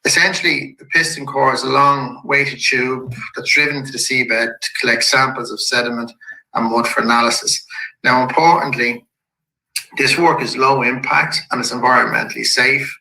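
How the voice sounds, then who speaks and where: A man with a slightly croaky voice from a head cold, a company executive calmly walking shareholders through an investor presentation slide by slide. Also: medium pitch. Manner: measured and businesslike, with occasional restarts. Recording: echoey room.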